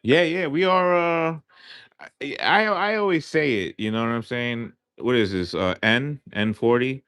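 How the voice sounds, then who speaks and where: A man's voice: mid-range voice, measured pace, a bit bright, smooth, dry recording, studio quality. A man in his thirties hosting a casual livestream, joking and rambling in slangy talk. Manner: joking, rambling, often says "you know what I'm saying". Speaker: livestream host.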